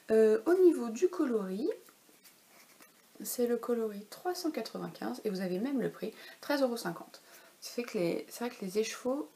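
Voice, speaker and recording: A chatty woman in her thirties talks about her knitting at home. Her voice sits a little high, and she stops often to hesitate or correct herself. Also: gravelly, dark tone. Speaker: knitwear designer presenting her work. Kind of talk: casual knitting podcast chat. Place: home, in daylight.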